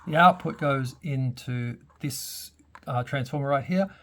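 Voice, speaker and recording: A man's voice: mid-range voice, measured pace, fairly bright tone. A man giving a casual, unscripted hands-on tech update as a hobbyist, thinking aloud and hesitating as he goes. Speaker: hobbyist presenter giving a radio modification demo.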